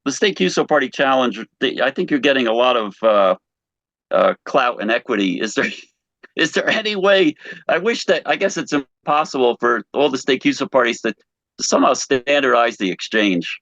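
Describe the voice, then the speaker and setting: A man asking questions casually on a video call in a halting way, often restarting his words. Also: medium pitch, lively intonation, fairly bright tone, no echo. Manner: hesitant, halting, repeats word starts. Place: online video meeting. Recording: dull lo-fi video call audio.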